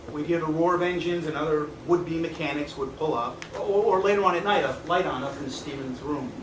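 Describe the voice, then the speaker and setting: A man playing a weary, nostalgic man in his fifties, speaking deliberately and reflectively in a theatrical stage performance. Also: medium pitch, lightly acted. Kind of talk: theatrical stage monologue and dialogue. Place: small indoor theatre stage. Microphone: far camera. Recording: echoey theatre stage, distant noisy camera mic.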